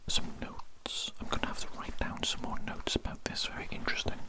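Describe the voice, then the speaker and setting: An ASMR performer whispering without voice as a polite, curious, fussy doctor, repeating phrases in a murmuring roleplay. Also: brisk. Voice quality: breathy unvoiced whisper. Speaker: ASMR performer role-playing a doctor examining a patient.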